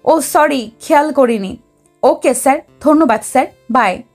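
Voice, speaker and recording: Actress as watchful young woman on a security team, high voice, audio story. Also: measured pace, faint music behind. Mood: polite, distracted.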